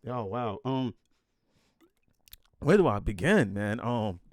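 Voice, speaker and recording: A man's voice: medium pitch, lively intonation, slow, a little mellow, dry recording, clean studio sound. A man hosting a podcast, giving a hyped introduction and then asking questions with many fillers and restarts. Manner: emphatic hype intro. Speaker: podcast host. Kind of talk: casual interview chat.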